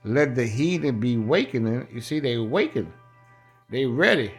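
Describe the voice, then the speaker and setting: A man's voice: mid-range voice, animated, moderate pace. American man preaching scripture over music. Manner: emphatic, repetitive, colloquial. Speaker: street-style Bible preacher. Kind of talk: sermon, reading scripture with running commentary.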